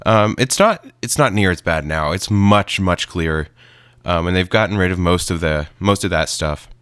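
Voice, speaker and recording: A man giving casual, unscripted commentary as a video creator, haltingly searching for his words. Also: sits low, a bit bright, clear, resonant tone, no echo, studio quality. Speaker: video creator introducing radio recordings.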